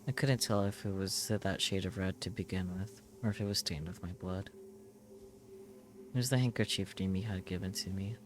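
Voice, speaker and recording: Male streamer narrating visual novel: low pitch, grave, measured, halting dramatic read, slight background noise. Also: fairly even intonation. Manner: solemn, measured, short halting phrases. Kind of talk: dramatic scripted first-person narration.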